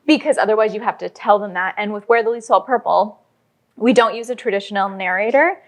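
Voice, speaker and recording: A woman's voice: mid-range voice, a little fast. A woman speaking as an interview guest, explaining her creative work in a conversational way with illustrative examples. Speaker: interview guest, audio drama writer.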